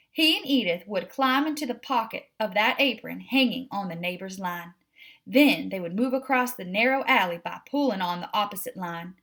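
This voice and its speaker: Lady reading a kids book out loud, on the high side, super animated narration. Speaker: story reader. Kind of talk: expressive children's book narration.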